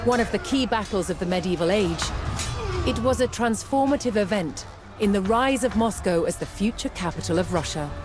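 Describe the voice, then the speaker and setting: A woman reading scripted historical narration in a measured, dramatic way, as for a documentary. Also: mid-range voice, lively intonation. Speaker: documentary-style narrator of game cutscenes. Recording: music behind the voice. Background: background music.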